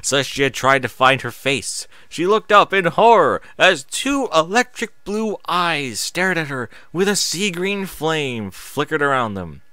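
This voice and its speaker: A man's voice: a little high, sing-song, measured pace, few pauses, bright, forceful tone, no echo. A man narrating a story in a dramatic reading style.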